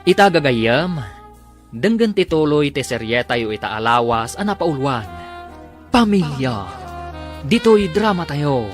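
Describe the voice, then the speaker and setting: A man's voice: fairly high pitch, sing-song, measured pace, long pauses. A man with an Ilocano accent narrating a radio drama recap as its announcer. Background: music.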